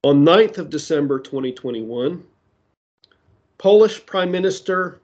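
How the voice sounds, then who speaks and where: A man preaching a sermon that ties prophecy to current events, speaking deliberately with side remarks, his voice giving out. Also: a little high, animated, darkish tone, lo-fi sound. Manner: slow, deliberate delivery with asides. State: losing his voice.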